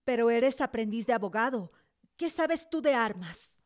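A woman's voice: a little high, expressive, moderate pace, close to an everyday voice. An actress in a radio drama playing a cultured, determined young woman who speaks in an assured, questioning way. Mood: probing.

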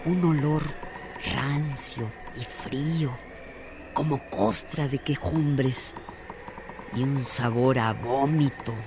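A man narrating a radio drama from a literary script, with deliberate, dramatic phrasing and pauses. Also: medium pitch, steady tone. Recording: noisy recording with clear background noise.

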